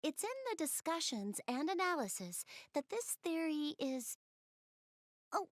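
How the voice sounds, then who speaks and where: Shy, high-voiced young goddess girl. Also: big pitch swings, measured pace, no echo, clean studio sound. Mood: enthusiastic, earnest. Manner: hesitant, bashful, polite, rambling about hobbies. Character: shy, bookish young goddess girl, soft-spoken and earnest. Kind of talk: English video game dub, visual novel dialogue.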